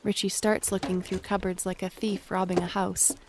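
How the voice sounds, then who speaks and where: A woman's voice: medium pitch, little pitch movement, moderate pace, noisy background, natural voice. A woman narrating an audio drama, reading out scripted stage directions.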